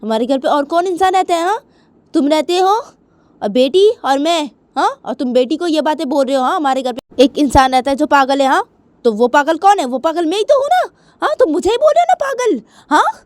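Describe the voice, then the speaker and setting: A woman's voice: high-pitched, lively intonation, brisk, a little mellow, dry recording, pitched above the performer's own voice. A woman voicing an angry, aggrieved dinosaur mother in a story, scolding with repeated, badgering questions. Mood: furious, indignant. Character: furious, aggrieved dinosaur mother, nagging and indignant.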